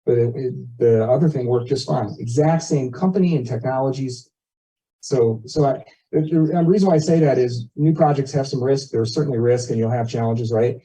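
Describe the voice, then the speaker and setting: A man's voice: medium pitch, normal speed, warm tone. A man giving an informal, folksy presentation to neighbours at a meeting, explaining things with plain comparisons. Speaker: community board member presenting at a meeting. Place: neighbourhood meeting, relayed over video call. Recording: muffled, distant microphone, meeting audio.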